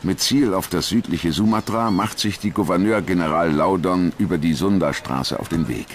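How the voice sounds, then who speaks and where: A man's voice: low voice, moderate pace. A man narrating a documentary from a script in a dramatic style. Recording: voice-over with clear background noise.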